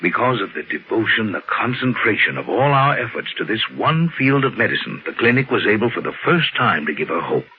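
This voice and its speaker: An actor playing an earnest, dignified doctor in measured, formal 1950s radio drama style. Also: male voice, fairly low pitch, very animated, breathy edge, full character voice. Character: earnest, dignified doctor running a clinic, mature man. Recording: muffled old radio recording, background noise.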